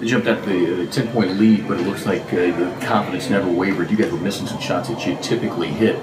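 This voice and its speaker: A man's voice: deep voice, fast talker. A man reporting from a locker room, asking long wordy questions after a game. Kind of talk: post-game interview questions. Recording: echoey room with loud noise.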